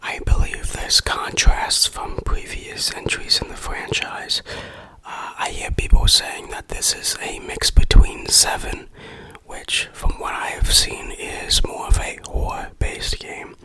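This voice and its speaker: A reviewer speaks entirely in a breathy ASMR whisper. The delivery is slow and measured, with a bright, sharp edge to the unvoiced sound. The phrasing is informal, like someone talking through their opinions. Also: low-quality recording. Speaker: game reviewer and ASMR host.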